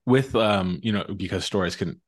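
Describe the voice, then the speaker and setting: A man's voice: sits low, normal speed. A man hosting a podcast interview in a casual, informal, effusive way.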